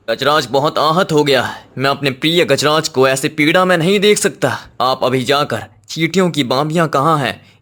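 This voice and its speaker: A man's voice: medium pitch, fairly bright tone, natural voice. A man playing a commanding Indian king with a formal, authoritative manner in a children's cartoon story. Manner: formal, authoritative, giving orders. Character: commanding Indian king, fond of his elephant.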